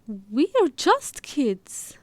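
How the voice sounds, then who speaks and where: Lady doing a dreamy little girl from a kids book, curious n earnest, high voice, really lively. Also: very slow, no room sound, full character voice. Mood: puzzled.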